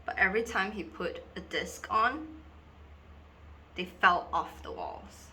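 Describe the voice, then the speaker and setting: A woman performing a dramatic monologue as a lonely, wistful girl who confides haltingly and theatrically in an invisible friend. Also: medium pitch, bright, forceful tone, slight background noise, exaggerated voice. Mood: pleading. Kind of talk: dramatic monologue for an acting reel.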